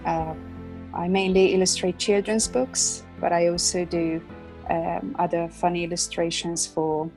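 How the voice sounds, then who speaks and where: A woman in her thirties from Hungary, a Hungarian speaker talking in English, is a guest illustrator chatting in an interview, with many fillers and soft laughs. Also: on the low side, flat delivery, unhurried, soft background music. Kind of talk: casual interview chat.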